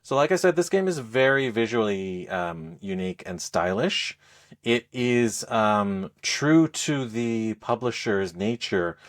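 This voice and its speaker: A man speaking as a podcast co-host, explaining things in a casual, halting, conversational review style. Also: on the low side, expressive. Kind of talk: casual game review chat.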